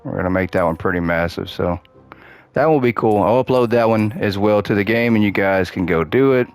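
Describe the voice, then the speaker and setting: A man with a low voice that keeps cracking because he is losing it. He chats casually and upbeat, counting and thinking aloud while he plays a game. Faint music runs underneath. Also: a little fast, clear, resonant tone. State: losing his voice. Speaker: gaming channel host. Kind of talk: casual gameplay commentary.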